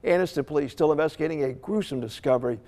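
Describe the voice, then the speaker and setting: Higher-voiced American male news anchor. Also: rapid-fire, darkish tone. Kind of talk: broadcast news reading and live interviewing.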